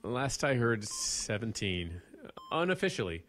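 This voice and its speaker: An actor playing an earnest, shaken park ranger in an audio drama, speaking haltingly and stammering as he pleads. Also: medium pitch, sing-song, a bit bright. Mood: weary concern. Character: earnest, shaken male park ranger, hesitant under pressure.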